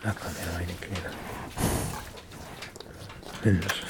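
An actor playing a worn-out, tender village farmer with a Berlin-Brandenburg accent, speaking haltingly and colloquially in a radio play. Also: male voice, deep voice, little pitch movement, heavy background noise. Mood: troubled, tender. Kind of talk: naturalistic radio play acting.

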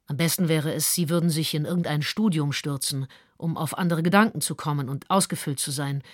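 A deep female voice, recorded very dry, playing a composed adviser in a radio play. She gives firm counsel as if reading a letter aloud. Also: fairly even intonation, a little fast, dry recording. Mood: calm, firm. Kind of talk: radio drama, advice read as a letter.

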